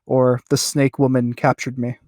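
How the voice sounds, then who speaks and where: Guy podcast host, kinda halting and hesitant, casual, really dry close audio. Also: medium pitch, flat delivery, darkish tone, no room sound. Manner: hesitant, halting, often says like. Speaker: podcast interviewer. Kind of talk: casual chat.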